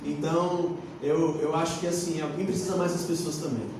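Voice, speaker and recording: A Brazilian man talking casually on a forum panel, telling anecdotes in colloquial, halting phrases. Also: fairly high pitch, little pitch movement. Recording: echoey room, distant mic, faint noise.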